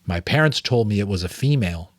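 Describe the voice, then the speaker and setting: A man's voice: fairly low pitch, animated, fast, dry recording. A man voicing an earnest, curious young boy apprentice in an audiobook novel, polite and tentative.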